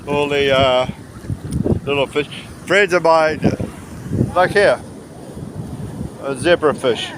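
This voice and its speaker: Man rambling casually outdoors, windy background. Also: a little high. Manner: rambling, pausing mid-sentence. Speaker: travel vlogger. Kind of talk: casual walking travel commentary. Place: outdoors on a rocky seashore by tidal pools. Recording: windy outdoor recording with sea noise. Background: wind and waves.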